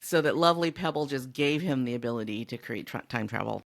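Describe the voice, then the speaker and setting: A woman's voice: rumbling low, expressive, fast talker, gravelly. A woman co-hosting a book podcast, discussing a story in a casual, conversational way. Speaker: podcast co-host.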